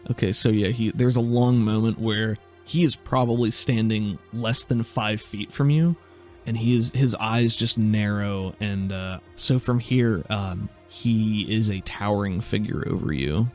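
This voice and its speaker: Low-voiced man game master, music behind. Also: unhurried, a little mellow. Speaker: game master and podcast host. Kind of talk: tabletop game narration, conversational.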